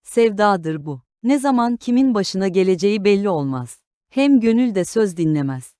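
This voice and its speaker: A woman's voice: medium pitch, animated, moderate pace, dark tone, clean tone, no echo, studio quality, lightly acted. A woman voicing a humble, devoted peasant mother in a fairy tale, earnest in manner. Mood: earnest petition.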